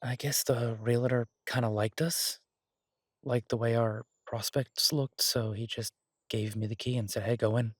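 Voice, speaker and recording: A man playing an easygoing, earnest chef boyfriend in an intimate roleplay audio drama, talking casually and haltingly with a husky, reassuring voice. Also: medium pitch, fairly even intonation, no room sound, clean studio sound, natural voice. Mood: casually enthusiastic.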